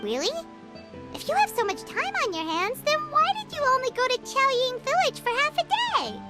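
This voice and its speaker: Squeaky, teasing little creature. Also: female voice, big pitch swings, normal speed, music in the background. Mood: curious. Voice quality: squeaky, childlike. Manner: teasing, nosy. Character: tiny floating female companion creature, cheeky. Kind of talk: video game voice acting.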